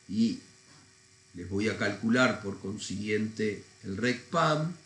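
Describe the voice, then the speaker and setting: Guy teaching, stuffed up with a cold, takes it slow, echoey room. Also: mid-range voice, fairly bright tone. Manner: didactic, informal, thinking aloud. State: congested with a cold, often clearing throat. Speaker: accounting lecturer. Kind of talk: recorded lesson, explaining step by step.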